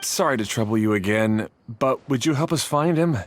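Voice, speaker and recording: A man's voice: mid-range voice, animated, moderate pace, slight background noise, lightly acted. A man voicing an anxious, protective young brother in a video game cutscene, earnest and a little hesitant. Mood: concerned.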